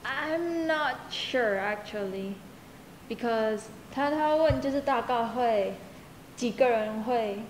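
A woman working as interpreter, replying hesitantly in casual chat. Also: on the high side. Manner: slow, hesitant, short replies. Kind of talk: casual chat, switching between English and Chinese. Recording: echoey room with faint noise.

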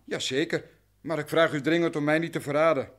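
Cautious, beaten-down businessman, male actor, radio play, formal and explanatory, slightly raised pitch. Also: steady tone, a little fast, close to an everyday voice. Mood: cautious, secretive. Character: cautious, anxious, worn-down male grain merchant. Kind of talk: radio drama acting.

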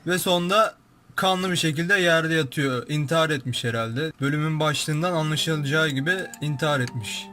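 A man's voice: fairly high pitch, moderate pace, pushed and bright, soft background music. A young man giving casual, informal, exclamatory commentary as a YouTube host, describing what he sees. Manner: informal, exclamatory, narrates what appears on screen.

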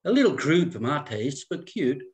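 A man's voice: a little high, very animated, normal speed. A man voicing a snobbish, fussy fifty-year-old small-town society woman in a script read, with a condescending, genteel delivery. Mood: smugly superior. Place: indoor room at home, on a video call.

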